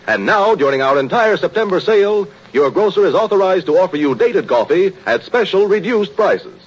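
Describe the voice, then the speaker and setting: A man's voice: high voice, lively intonation, moderate pace, breathy edge. A man reading a scripted 1930s radio commercial in an emphatic, declamatory way. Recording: muffled vintage radio recording.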